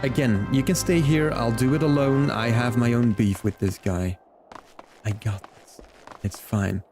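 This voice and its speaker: Young man, casual stream chat, relaxed, chatty, game music behind. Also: medium pitch, measured pace. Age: 20s. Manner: chatty, relaxed, chats with viewers while playing.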